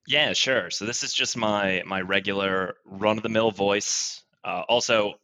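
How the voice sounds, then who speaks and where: A man's voice: on the low side, steady tone, measured pace, bright, forceful tone. A man chatting casually as a guest on a podcast. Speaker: podcast guest, corporate trainer. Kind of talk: casual chat.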